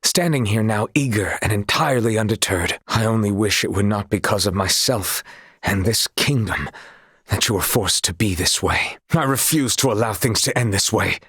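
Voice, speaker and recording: A man voicing an earnest, honorable young knight in a video game, speaking in formal, courtly, old-fashioned phrasing with a husky voice. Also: lowish voice, normal speed, a bit bright, no echo, clean studio sound. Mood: grave, determined.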